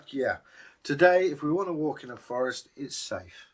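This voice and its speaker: A man's voice: a little high, lively intonation, unhurried, slightly rough. A British man co-hosting as a storyteller, telling folktales and chatting in a casual, musing way. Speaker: co-host and storyteller.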